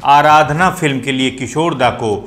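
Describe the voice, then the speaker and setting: A man's voice: medium pitch, moderate pace, background noise. Enthusiastic man hosting a music talk. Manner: enthusiastic, admiring, rhetorical asides. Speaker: host explaining playback singing. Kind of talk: explanatory talk to viewers.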